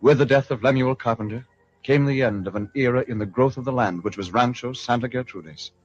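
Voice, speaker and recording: A man with a husky voice delivering scripted narration in an old-time radio style. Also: mid-range voice, a little fast, soft background music. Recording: muffled vintage radio recording.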